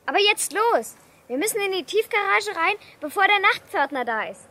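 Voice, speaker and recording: A woman's voice: very high-pitched, very animated, normal speed, pushed and bright, heavily acted. A woman playing a sensible young child among a gang of friends in a children's radio play.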